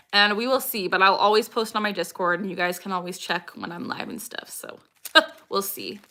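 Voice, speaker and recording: Bright-voiced young woman chatting with viewers. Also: medium pitch, normal speed. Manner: frequent vocal tics and bursts of laughter; chatty, greets viewers by name. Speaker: livestream host. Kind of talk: casual livestream Q&A, reading chat aloud.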